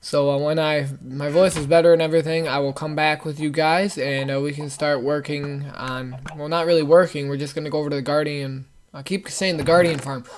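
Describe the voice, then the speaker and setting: A young man talks his way through a game session in a relaxed, rambling way, filling pauses with ums and uhs. His voice sits a little high for a man and sounds worn, as if he is losing it. A faint hiss and some clicks sit behind him. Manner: rambling, lots of fillers. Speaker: gaming channel host. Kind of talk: casual Let's Play commentary.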